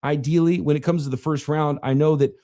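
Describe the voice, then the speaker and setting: A man hosting a live podcast about the draft, slightly raspy as he fights off a cough, talking casually while he runs a draft simulator. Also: on the high side, fairly even intonation, brisk, warm tone. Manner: casual, thinking aloud while running a draft sim. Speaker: podcast host.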